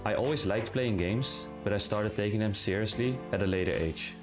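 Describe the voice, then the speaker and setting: A man's voice: fairly low pitch, normal speed, music in the background. A man from the Netherlands, a pro esports player speaking in simple, direct phrases in an interview voiceover. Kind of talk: documentary-style interview voiceover.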